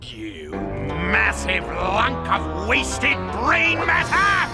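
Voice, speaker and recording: Cartoon crocodile tyrant, very high voice, wildly swooping, pompous, grandiose, short-tempered, theatrical. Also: male voice, big pitch swings, exaggerated voice. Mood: furious. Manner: pompous, grandiloquent, drawn-out emphatic delivery. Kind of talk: theatrical cartoon villain acting. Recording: cartoon soundtrack with loud music behind.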